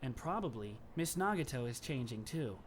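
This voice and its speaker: A man acting a polite, composed teenage schoolboy in an anime fandub, with courteous, measured, formal speech. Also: mid-range voice, sing-song, a little hiss or hum, natural voice. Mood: approving, thoughtful.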